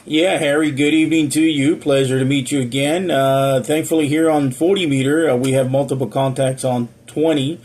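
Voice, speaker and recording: A man chatting casually over amateur radio as an operator, courteous and measured in his radio procedure. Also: medium pitch, fairly even intonation, a little mellow. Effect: amateur radio transmission. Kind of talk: casual two-way radio chat. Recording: radio audio with faint hiss.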